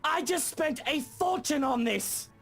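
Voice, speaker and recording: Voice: high-pitched, a little slow, a bit bright, faint music behind. An actor playing a pompous, furious man who owns a mansion in a video game. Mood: indignant, outraged. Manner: pompous, outraged, hysterical. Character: furious haughty rich British male mansion owner.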